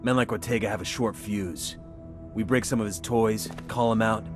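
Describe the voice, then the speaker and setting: An actor playing a terse, resolute special forces team leader in a video game, speaking in a clipped, businesslike way. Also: male voice, fairly low pitch, steady tone, normal speed, over music, natural voice. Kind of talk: video game cutscene dialogue.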